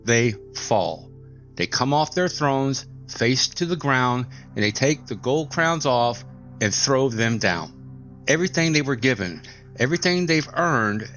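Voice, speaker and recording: A man narrating a scripted, dramatic Bible explanation in a solemn, deliberate way with emphatic pauses. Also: mid-range voice, fairly bright tone. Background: music.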